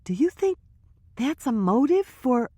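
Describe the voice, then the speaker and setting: A woman doing a high, nervous gossiping girl. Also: sing-song, measured pace, mellow, dry recording, studio quality, full character voice. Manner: breathless, hesitant gossip. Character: young woman, nervous, gossipy and easily flustered.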